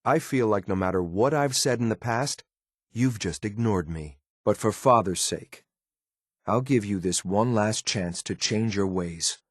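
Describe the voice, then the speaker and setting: Low, stern synth soldier. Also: male voice, unhurried, no echo, studio quality. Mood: stern, disappointed. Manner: formal, clipped, restrained, military. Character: stern, dutiful male synth soldier, coolly loyal. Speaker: video game voice actor. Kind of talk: scripted game companion dialogue.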